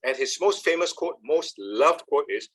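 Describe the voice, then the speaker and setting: A man, giving an animated, emphatic talk on meditation and often checking in with "all right?". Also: on the high side, moderate pace, slightly rough. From Malaysia. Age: 70s. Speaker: speaker on meditation. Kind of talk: talk with slides.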